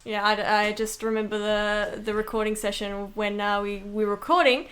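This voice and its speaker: Casual Australian woman, medium pitch. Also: little pitch movement, normal speed, fairly bright tone. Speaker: voice actor, interview guest. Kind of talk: casual chat.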